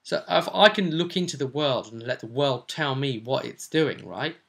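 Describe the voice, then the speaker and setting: Male game master and host, creaky voice, mid pitch, informal storyteller recapping a tabletop session. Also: expressive, moderate pace, a bit bright.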